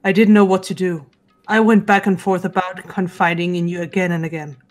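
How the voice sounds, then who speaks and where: A woman voicing a huge, stoic, honorable female martial artist in a visual novel game, speaking in a solemn, measured way. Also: on the low side, level tone, faint music behind, close to an everyday voice. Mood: remorseful.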